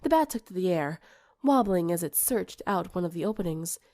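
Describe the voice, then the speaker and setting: A woman's voice: lowish voice, expressive, brisk, a little mellow. A woman reading a scripted story aloud as an audiobook narrator. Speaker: audiobook reader.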